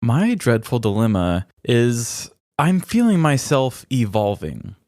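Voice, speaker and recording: A man co-hosting a podcast, telling stories in a joking, deadpan way. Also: medium pitch, slow pace, no room sound, studio quality. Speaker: podcast co-host. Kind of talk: casual chat.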